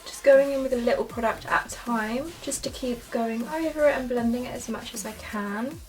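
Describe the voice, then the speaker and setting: A woman's voice: a little high, normal speed, over music. A young woman talks her viewers through a makeup look in a chatty, upbeat way, her speech peppered with "like" and "so". She sits a little back from the camera mic, so her voice sounds slightly distant. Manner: chatty and enthusiastic, lots of "like" and "so". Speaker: beauty vlogger. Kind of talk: casual makeup tutorial narration.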